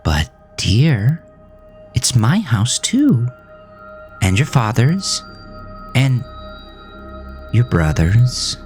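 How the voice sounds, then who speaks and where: Man voicing a soft, eerily sweet ghostly mother. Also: medium pitch, very animated, extremely slow, long pauses, faint music behind, voice pushed up. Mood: wistful. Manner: motherly, gently chiding. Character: uncanny dead mother apparition, sweetly nagging, wistful. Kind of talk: horror audio drama acting.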